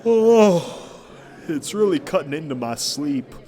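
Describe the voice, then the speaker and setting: A man's voice: a little high, lively intonation, slow, warm tone. A man playing a weary, grumbling but kindly pony shop worker in casual audio drama dialogue.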